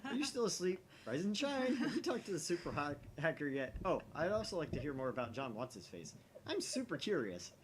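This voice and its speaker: A man's voice: fairly high pitch, sing-song, fairly quick, noisy background, voice pushed up. A man voicing a chatty, eager and slightly awkward young woman's messages in a visual novel, in a breezy, rambling way.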